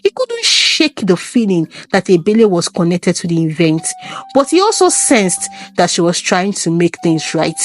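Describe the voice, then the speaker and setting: A woman's voice: lowish voice, big pitch swings, moderate pace. A woman reading a scripted folktale as its storyteller. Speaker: storyteller, narrator.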